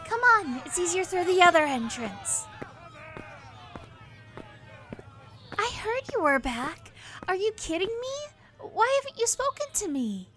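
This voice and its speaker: Animated, very high-voiced young palace friend. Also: female voice, normal speed, hardly any pauses, a little hiss or hum, full character voice. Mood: excited, indignant. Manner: eager, sympathetic. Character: warm, chatty, romantic young woman, palace colleague and friend. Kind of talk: audio drama dialogue.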